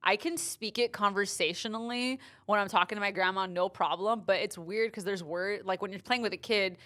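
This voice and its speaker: A young woman from in casual podcast chat, talking easily with plenty of "like" and quick laughs. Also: medium pitch, fairly bright tone. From Glendale, California. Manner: chatty, laughs easily, lots of "like". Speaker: podcast guest.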